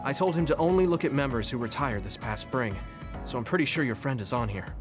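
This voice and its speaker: A man acts out an earnest young male soldier for a video game. His voice sits at a middle pitch and sounds clear and sincere, with caring concern in it. Music plays softly underneath. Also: a little fast, close to an everyday voice. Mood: apologetic, troubled. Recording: background music under dialogue.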